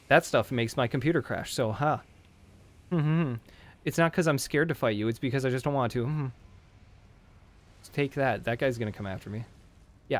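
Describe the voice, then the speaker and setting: Hoarse man joking through a game stream. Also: mid-range voice. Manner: jokey, talks to enemies on screen. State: hoarse from screaming. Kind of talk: casual gameplay commentary.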